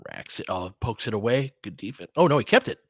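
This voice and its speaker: A man's voice: fairly low pitch, big pitch swings, fast, husky, no room sound, clean studio sound. A man doing casual live basketball commentary, switching between clipped play calls and conversational analysis of the game.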